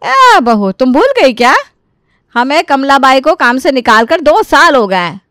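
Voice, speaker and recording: Woman voicing a nagging, high-pitched older mother-in-law. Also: very animated, unhurried, pushed and bright, dry recording, studio quality, exaggerated voice. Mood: irritated, scolding. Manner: scolding, sarcastic. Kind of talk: dramatised Hindi storytelling.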